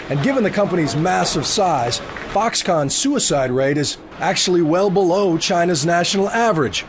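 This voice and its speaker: A man narrates as a television news reporter. His voice sits a little high for a male. The delivery is scripted broadcast narration, over clearly audible background noise.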